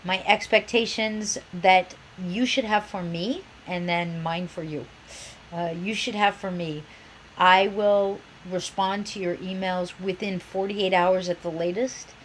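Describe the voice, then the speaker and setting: A woman's voice: on the low side, fairly even intonation, measured pace, slight background noise, low-quality recording. Nasal woman professor with a cold. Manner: chatty, enthusiastic, self-described geek. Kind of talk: course introduction talk.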